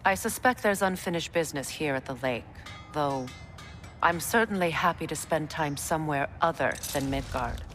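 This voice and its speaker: An actress playing a proud, wounded middle-aged goddess in a video game, sombre yet compassionate, with a measured, guarded delivery. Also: female voice, deep voice, fairly bright tone, soft background music. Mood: observant. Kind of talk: video game dramatic acting.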